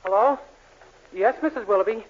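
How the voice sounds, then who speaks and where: An actor playing a naive, earnest, innocent young store clerk in a 1940s radio sitcom. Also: male voice, high-pitched, normal speed. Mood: earnest, bewildered. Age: young adult. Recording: muffled, noisy 1940s radio recording.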